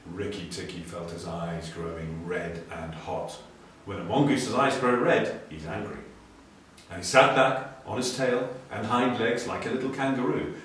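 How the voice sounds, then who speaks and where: A man reading a story dramatically, moving between hushed and emphatic delivery. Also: medium pitch. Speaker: story reader. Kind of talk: expressive storybook narration. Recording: very echoey room, distant, faint noise.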